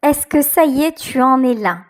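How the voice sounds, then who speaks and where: A woman giving an intuitive tarot reading to her viewers, often pausing and searching for her words. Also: high voice, lively intonation. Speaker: tarot reader, channel host.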